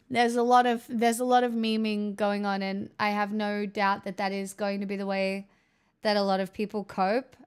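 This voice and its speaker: A woman's voice: on the high side, smooth. A young woman hosting a casual livestream, chatting, joking and swearing as she answers messages from chat. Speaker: livestream host reading chat.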